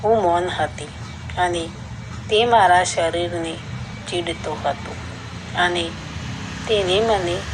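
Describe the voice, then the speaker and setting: Woman telling a story in first person, really slow, kinda low voice, some noise behind her. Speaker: narrator.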